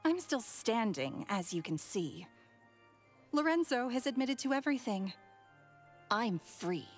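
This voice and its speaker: An actress playing a bitter, defiant former thief in a video game, scornful and challenging. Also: female voice, on the high side, sing-song, unhurried. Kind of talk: dramatic video game voice acting. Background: music.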